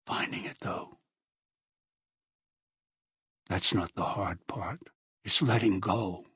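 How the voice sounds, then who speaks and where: An actor voicing a bitter, obsessive old schemer in a video game, with a gravelly voice and an ominous, deliberate delivery. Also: male voice, low voice, fairly even intonation, fairly bright tone, no room sound, clean studio sound, exaggerated voice. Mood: ominous, brooding. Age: older. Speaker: video game villain and narrator. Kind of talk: dramatic video game voice acting.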